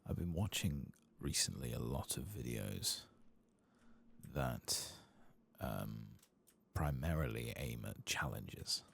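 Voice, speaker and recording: A soft-spoken man giving hushed, unhurried ASMR commentary as he plays a game, murmuring and musing to himself, at times nearly whispering. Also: rumbling low, expressive, creaky, slight background noise. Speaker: gaming video creator.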